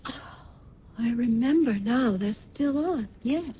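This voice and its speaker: An actress playing a vain, fretful socialite wife in a theatrical, genteel old-time radio drama style. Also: female voice, on the high side, lively intonation, measured pace. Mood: distressed, fretful. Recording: muffled, noisy old radio recording.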